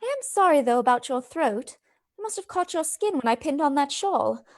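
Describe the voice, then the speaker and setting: An anxious young Victorian woman played by an actress, high-voiced and very expressive. She is earnest and solicitous, in the manner of radio drama. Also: normal speed. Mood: worried, caring. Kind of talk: radio play acting.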